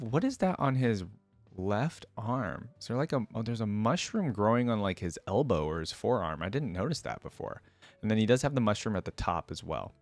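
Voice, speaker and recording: Low-voiced man giving casual reaction commentary. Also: no echo. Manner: informal, thinking aloud. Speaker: reaction video host.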